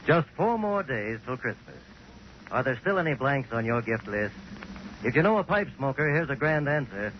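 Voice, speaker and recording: A man's voice: mid-range voice, very animated, moderate pace. A man reading a 1940s radio advertisement as announcer, with an emphatic sales delivery. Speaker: commercial announcer. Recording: muffled, hissy 1940s radio recording.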